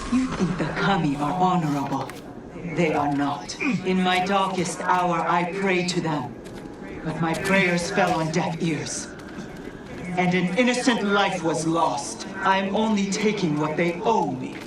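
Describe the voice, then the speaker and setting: A woman playing a bitter, vengeful sorceress in a game cutscene, speaking with cold, deliberate defiance. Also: fairly low pitch, echoey room, distant mic, a crowd in the background, natural voice, rough recording. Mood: bitter, defiant. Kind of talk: dramatic game cutscene acting.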